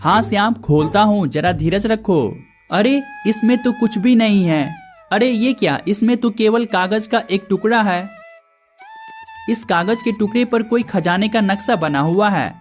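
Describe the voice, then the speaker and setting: A man's voice: high-pitched, unhurried, faint music behind. A man voicing the brothers and villagers of a cartoon story in plain conversational dialogue.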